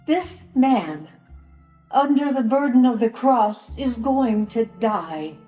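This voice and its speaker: A woman playing a sorrowful, troubled grandmother in a solemn Passion drama monologue, pausing long between phrases. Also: on the high side, animated, warm tone. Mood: troubled, grieving. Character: sorrowful grandmother in occupied ancient land, a troubled bystander. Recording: roomy echoing church sound with music.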